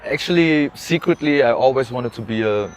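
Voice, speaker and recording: A young man from Germany, a musician being interviewed, who talks in a relaxed, hesitant way with lots of fillers and laughs. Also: medium pitch, moderate pace, faint music behind, rough recording. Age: young adult. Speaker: musician guest. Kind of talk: casual interview. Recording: faint background noise.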